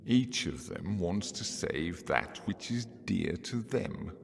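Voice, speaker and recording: Somber game fatalist: very deep, creaky, grave, heavy reverb. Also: male voice, lively intonation, slow pace, heavily acted. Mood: pensive. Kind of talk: scripted video game cutscene dialogue. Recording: long hall-like reverb.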